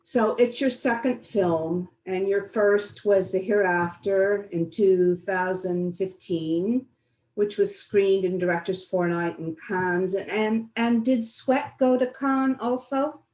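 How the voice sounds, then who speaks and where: A woman with a medium-pitched voice moderates an interview in a conversational style. Her voice carries a clear room echo, as on an online call. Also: slow pace, darkish tone. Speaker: festival Q&A moderator.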